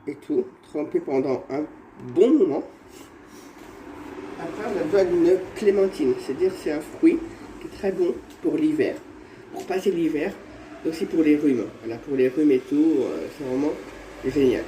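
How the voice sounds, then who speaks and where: A man with a cold talks his viewers through his home remedies in a rambling, halting way, circling back over the same phrases. His voice is fairly high, dark and mellow. The sound is caught on a phone in an echoey room with a faint hiss behind him. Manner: rambling, repeats himself, halting restarts. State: has a cold. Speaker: vlogger. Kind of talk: casual home how-to vlog.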